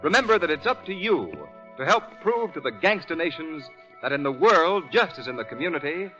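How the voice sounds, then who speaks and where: Bright, emphatic American radio announcer man. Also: fairly high pitch, expressive, a little fast. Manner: emphatic, declamatory. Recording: muffled vintage radio recording with music.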